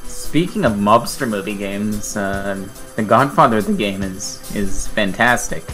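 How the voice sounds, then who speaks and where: A man's voice: lowish voice, a little slow, bright, forceful tone. A man co-hosting a podcast, chatting casually about video games. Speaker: podcast co-host. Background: background music.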